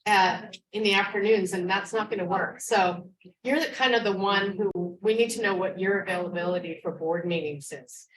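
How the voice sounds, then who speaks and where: A woman's voice: medium pitch, moderate pace. A woman board member talking casually in a meeting, with informal interjections. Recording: echoey, distant microphone.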